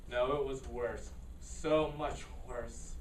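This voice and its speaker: An anxious, secretive military scientist held captive, acted by a man at a staged reading. He speaks haltingly and dramatically, stumbling over his words. The recording is distant and echoey, with a noisy room around him. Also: medium pitch, fairly even intonation, close to an everyday voice. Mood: haunted, confessing. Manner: halting, stammering, dramatic outbursts.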